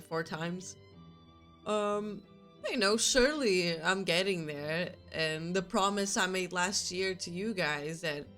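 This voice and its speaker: A woman's voice: lowish voice, fairly bright tone. A young woman streamer chatting casually with her viewers, her nose stuffy from a cold, rambling and laughing often. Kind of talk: casual chat with live chat.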